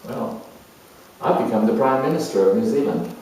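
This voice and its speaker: A man playing a proud, charming, opinionated politician in a dramatized monologue, musing in a self-assured way with halting, emphatic delivery. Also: medium pitch, expressive, a little mellow, natural voice. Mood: boastful, self-assured. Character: proud, charming, opinionated male career politician turned world judge. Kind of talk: dramatized first-person character monologue. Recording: roomy echo, distant microphone.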